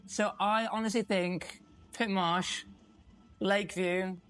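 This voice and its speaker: A man's voice: high-pitched, steady tone, slow, a bit bright. A young man with an English accent chats as the guest in an interview. He talks in a casual, rambling way, swears now and then and acts out the dialogue in his stories. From England. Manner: chatty storyteller, swears, acts out quoted dialogue. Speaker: musician guest.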